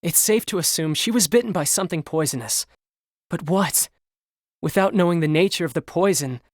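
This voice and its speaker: An actor playing a gentle, earnest young man who heals villagers in a video game, with polite, well-spoken delivery. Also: on the high side, brisk, no room sound, studio quality, natural voice. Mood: distressed. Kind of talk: video game cutscene acting. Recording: background music under the voice.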